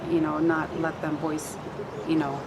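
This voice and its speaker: A woman's voice: low voice, flat delivery, measured pace, very noisy. A middle-aged woman in an informal interview, speaking hesitantly and often repeating words. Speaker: parent of a student activist. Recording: loud outdoor urban ambience with vehicles.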